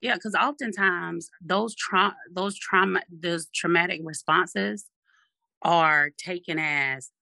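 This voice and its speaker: A speaker with a deep, raspy voice, talking firmly and assertively in a casual discussion. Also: slow pace, pushed and bright, no echo, poor audio.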